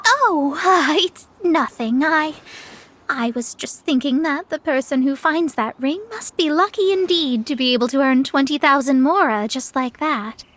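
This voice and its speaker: A woman's voice: high voice, expressive, measured pace, a bit bright. A woman playing a proud, formal young astrologer with theatrical delivery in a video game. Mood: feigned nonchalance. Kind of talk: video game character acting. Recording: game dialogue with music behind.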